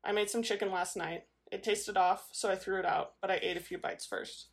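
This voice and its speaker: A woman's voice: mid-range voice, fairly even intonation, moderate pace, close to an everyday voice. Woman reading as a queasy, stubborn young wife. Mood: weakly explaining.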